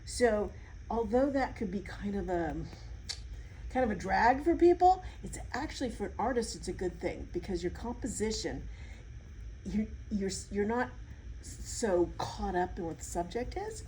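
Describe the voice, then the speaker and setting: A woman's voice: mid-range voice, animated, moderate pace, dark tone, a little hiss or hum, low-quality recording. A woman artist being interviewed, chatting casually and explaining things with enthusiasm.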